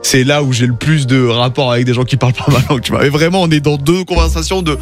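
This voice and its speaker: A man's voice: mid-range voice, fairly even intonation, fast. A male radio host presenting a morning show, chatty and upbeat, running a quiz and announcing tracks in a sing-song way. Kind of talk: lively radio chat and quiz hosting. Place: radio studio. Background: faint music.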